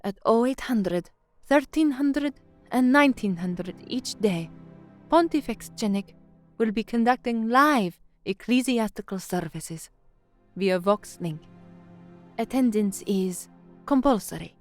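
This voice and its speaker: A woman's voice: on the high side, animated, takes it slowly. Woman acting earnest young radio newsreader, music underneath. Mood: solemn, official. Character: young novice radio newsreader, earnest, uneasy at hidden truths. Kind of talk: audio drama acting, formal newsreading and introspective narration.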